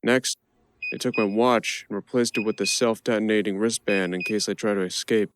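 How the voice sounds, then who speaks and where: A man's voice: fairly low pitch, fairly even intonation, normal speed, slight background noise. A man performing wry, theatrical first-person narration in an audio drama. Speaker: actor performing a one-man audio play.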